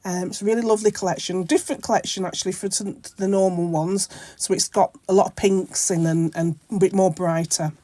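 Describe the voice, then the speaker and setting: Croaky woman with a cold. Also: lowish voice, fairly even intonation, a little fast, hardly any pauses, warm tone, no room sound. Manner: rambling, many ums and self-corrections. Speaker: craft channel host. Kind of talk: casual crafting tutorial narration.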